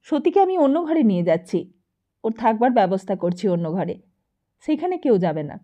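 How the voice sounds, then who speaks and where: Audiobook socialite elder sister, acted by a woman: effusive, affectionate, mellow-toned, muffled recording. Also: mid-range voice, very animated, normal speed, close to an everyday voice. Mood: insistent, reassuring. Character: warm, gushing, tipsy socialite elder sister hosting guests.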